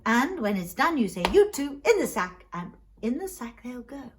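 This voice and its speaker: A woman's voice: a little high, very animated, normal speed, background noise. A woman voicing a jovial little male frost spirit in a folk tale, genial and full of exclamation.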